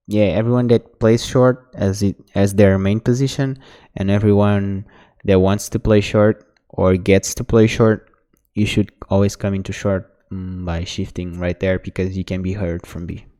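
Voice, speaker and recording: A man's voice: lowish voice, level tone, a little slow, mellow, clean tone. A man whose first language is Portuguese, coaching players through a recorded match in casual, instructive commentary. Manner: instructive, talks the viewer through mistakes. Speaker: game coach. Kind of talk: casual demo review commentary.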